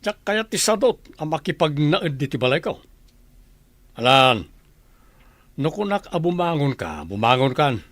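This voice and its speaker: An actor playing a gruff, demanding uncle who orders a child around in a Filipino radio drama. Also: male voice, a little high, big pitch swings, a little slow, long pauses, a bit bright, no echo. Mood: bossy, irritated.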